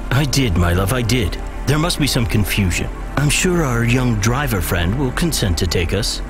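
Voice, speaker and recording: Man playing a polite snooty android butler type, formal, middle pitch, music in the background. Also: lively intonation, moderate pace, lightly acted. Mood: placating, veiled threat. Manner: formal, smooth-mannered. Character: suave, genteel male android gentleman, politely condescending.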